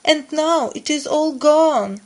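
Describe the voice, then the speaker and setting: A woman's voice: high voice, takes it slowly, pitched above the performer's own voice. A woman voicing a small upset bear cub in a children's storybook, crying out in indignant wails. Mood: tearful, indignant.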